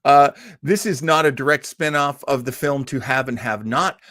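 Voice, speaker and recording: A man's voice: medium pitch, normal speed, a bit bright, no room sound. A man hosting a live listening party, presenting casually in a chatty, enthusiastic way with dry humor.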